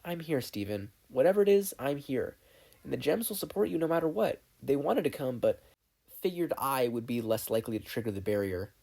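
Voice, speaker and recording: A narrator voicing a protective, steady young woman who talks in a firm, direct, reassuring way. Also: medium pitch, fairly quick, vocal fry.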